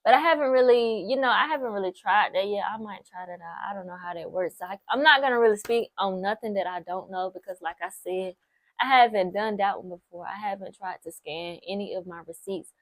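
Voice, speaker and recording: A woman's voice: medium pitch, fairly quick, no echo. Chatty nineteen-year-old woman, dry close sound. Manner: chatty, repeats herself for emphasis, lots of "like" and "so, yes"; encouraging, speaks straight to the viewer. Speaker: vlogger. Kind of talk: casual advice talk to camera.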